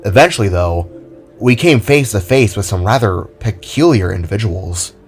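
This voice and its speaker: A man's voice: lowish voice, lively intonation, normal speed, soft background music. Man as earnest, self-conscious younger spark brother. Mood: candid, musing.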